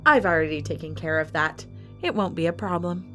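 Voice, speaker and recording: A woman's voice: fairly low pitch, brisk, sharp tone. A woman playing a composed, imperious villain who runs a fantasy fight arena in an audio drama. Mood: quietly smug. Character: cold, commanding female villain running a fantasy fight arena. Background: music.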